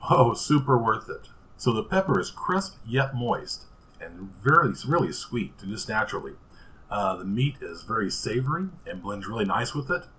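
Relaxed man chatting through a home cooking demo. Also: on the low side, normal speed. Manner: relaxed, chatty, with fillers and restarts. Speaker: cooking show host. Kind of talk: casual cooking demonstration.

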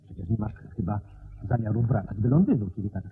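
An actor playing a detective's wary, grumbling doctor companion in a radio play, with expressive delivery. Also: male voice, fairly low pitch, fairly quick, a little hiss or hum. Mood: irritated, wary. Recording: dull lo-fi radio drama recording.

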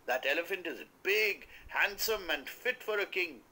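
A man voicing a frightened lost man who pleads loudly, later turning eager and greedy in a storybook reading. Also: high-pitched, measured pace, voice pushed up, heavily acted. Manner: wailing, pleading, then eager and flattering.

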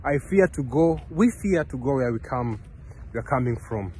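A man's voice: medium pitch, lively intonation, moderate pace, a little mellow, slight background noise. A young man from Kenya talking earnestly to his phone camera while walking outdoors, rambling with many restarts and fillers. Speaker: vlogger. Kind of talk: casual vlog talk to camera. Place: outdoors on a park path beside a road. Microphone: phone camera held close.

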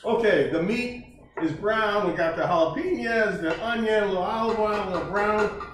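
A man's voice: sits high, normal speed, a little hiss or hum. An older man hosting a casual home cooking show, joking and chatting as he cooks in a large kitchen, recorded by a camera at a distance. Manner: folksy, jokey, chatty while cooking. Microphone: camera mic at a distance. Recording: echoey kitchen, voice from off-mic.

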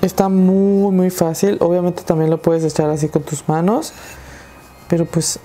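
A woman's voice: lowish voice, flat delivery, measured pace, warm tone. A young woman with a Mexican Spanish accent hosting a craft tutorial, chatting and encouraging viewers as she works. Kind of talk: casual DIY tutorial talk.

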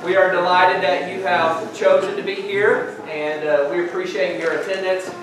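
A man's voice: sits high, moderate pace, noisy background. Man in an echoey church from afar. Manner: relaxed, folksy, with frequent uh fillers. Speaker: pastor hosting a church program. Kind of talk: church announcements and prayer. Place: large church sanctuary with a stage. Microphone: far camera, speaking from the stage. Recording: echoey sanctuary, picked up from far off.

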